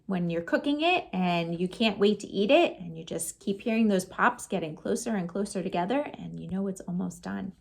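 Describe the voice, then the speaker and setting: A woman storytime host reading picture books aloud to children, expressive and sing-song, with chatty asides to her young listeners. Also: medium pitch, gravelly. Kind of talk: animated picture-book read-aloud for children.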